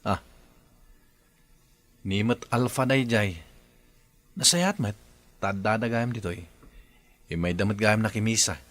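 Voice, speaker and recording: A man's voice: lowish voice, unhurried, pauses often, fairly bright tone. A man acting an easygoing, reasonable village husband in an Ilocano radio drama, mild and plain-spoken. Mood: puzzled, chiding.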